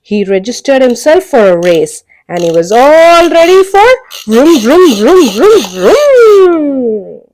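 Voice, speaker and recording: High, lively woman telling kids a story. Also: slow pace, slight background noise, poor audio. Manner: playful, makes vehicle sound effects; asks the listener questions. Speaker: children's storyteller.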